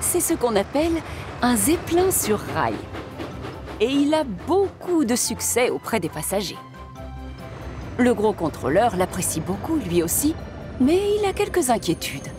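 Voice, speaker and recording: A woman narrates a children's story in scripted storybook style. Her voice is fairly high and very lively in pitch. Music plays underneath. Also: unhurried. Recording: music behind.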